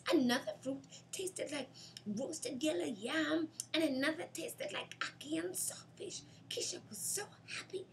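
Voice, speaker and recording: A woman's voice: on the high side, darkish tone, slight background noise, poor audio. A Jamaican woman reads a children's story aloud, narrating expressively.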